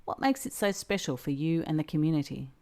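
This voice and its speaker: A woman's voice: sits low, big pitch swings, a little fast, darkish tone. A woman reading scripted questions as an interviewer.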